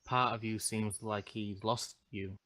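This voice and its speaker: A man's voice: on the low side, level tone, unhurried, sharp tone, no room sound. A man playing a tabletop game over a voice call, switching between casual chat and questions in character, swearing and laughing. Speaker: player.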